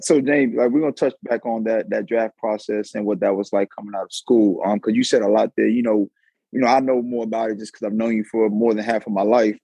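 A man's voice: fairly low pitch, fairly even intonation, fairly quick, creaky, warm tone, breathy edge, dry recording, lo-fi sound. A man interviewing a friend, asking long, loose questions and repeating words as he goes.

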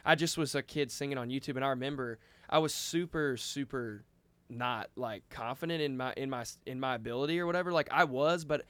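Guy from a band rambling through a story, lots of likes, smooth clear voice, dry mic. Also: mid-range voice, fairly quick, a bit bright, dry recording. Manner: rambling, with many restarts and "like". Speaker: band member. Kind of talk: casual storytelling.

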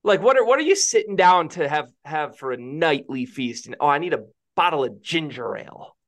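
Animated, teasing podcast co-host man. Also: a little high, brisk. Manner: animated, teasing, laughs often. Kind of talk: casual sports podcast banter.

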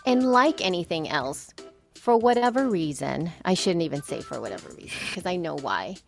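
A podcaster woman from El Salvador holds forth in relaxed conversation. She talks animatedly, acting out little quoted exchanges and restarting her sentences as she thinks. Faint music sits under her voice. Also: fairly low pitch, moderate pace, creaky. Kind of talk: casual conversation.

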